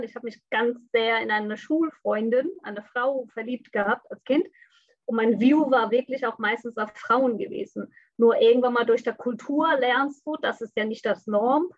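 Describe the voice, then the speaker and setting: A woman's voice: fairly high pitch, no echo, lo-fi sound. A young woman who grew up in Bangladesh talks openly and reflectively as an interview guest, with many fillers. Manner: open, frank and reflective, with frequent fillers. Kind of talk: conversational interview.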